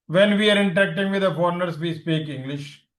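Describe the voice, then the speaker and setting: A man's voice: sits high, fairly quick, echoey room. A man with an Indian accent whose first language is Punjabi, teaching an online class, explaining points and quizzing students, switching between Hindi and English.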